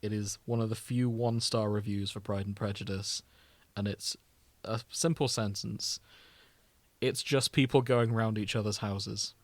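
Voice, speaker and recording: A man's voice: lowish voice, fairly even intonation, measured pace, no echo. A British man hosting a casual podcast, chatty and a little self-deprecating. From Britain. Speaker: podcast host.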